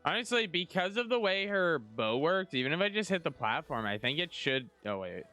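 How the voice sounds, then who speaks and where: A young man chats casually through his gameplay, thinking aloud in a rambling way. His voice is bright and clean with very lively pitch, and soft music plays behind him. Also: moderate pace. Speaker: gaming streamer.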